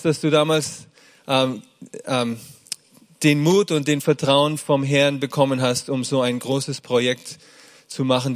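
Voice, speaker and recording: A middle-aged man from Germany preaching to a congregation. His voice sits a little high, and he talks warmly, pausing often on fillers as he searches for words. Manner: warm, hesitant delivery with frequent fillers. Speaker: pastor. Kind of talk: sermon.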